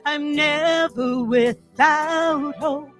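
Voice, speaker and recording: High-voiced woman singing slowly over music. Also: a bit bright. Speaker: singer. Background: musical accompaniment.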